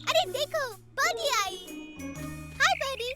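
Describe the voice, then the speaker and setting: Eager high-voiced hero girl. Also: animated, measured pace. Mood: cheerful. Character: young superhero girl, eager, competitive, kind. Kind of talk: dubbed children's cartoon acting. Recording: voice over loud music.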